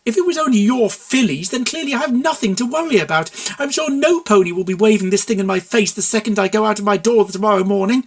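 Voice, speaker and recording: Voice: mid-range voice, expressive, quick pace, few pauses, voice pushed up, lightly acted. A storyteller voicing a feisty, sarcastic young cartoon pony mare who is easily exasperated, in a fan fiction reading. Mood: sarcastic anger. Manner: sharp, sarcastic, emphatic.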